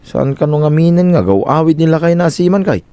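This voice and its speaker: A man's voice: a little high, expressive, fairly quick, warm tone, clean tone, no room sound. A man with an Ilocano accent playing a plain-spoken village friend who gives cautions in a comedy radio drama. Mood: advising.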